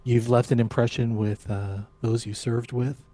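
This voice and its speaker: A man's voice: mid-range voice, little pitch movement, normal speed, mellow, no echo. A man hosting a podcast interview, asking curious, encouraging questions in a casual way. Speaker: podcast host.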